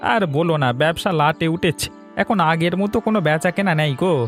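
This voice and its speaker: Grumbly high voiced village merchant guy acting, shady, cartoon, soft music behind. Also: moderate pace, close to an everyday voice. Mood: complaining. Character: disgruntled village trader, complicit cartoon villain.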